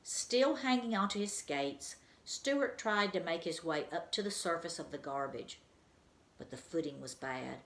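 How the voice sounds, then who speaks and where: A teacher reads a children's book aloud in a low voice. She tells the story in an expressive way. Her voice has a roomy echo around it. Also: measured pace.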